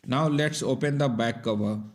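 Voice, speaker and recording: A man's voice: mid-range voice, normal speed. A man narrating a scripted technical tutorial in a methodical, step-by-step instructional manner. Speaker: repair tutorial presenter.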